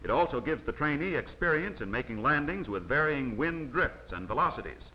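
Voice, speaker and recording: A man reading scripted instructional narration in a measured way, as the narrator of a training film. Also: mid-range voice, animated. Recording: dull, noisy old 16mm film soundtrack.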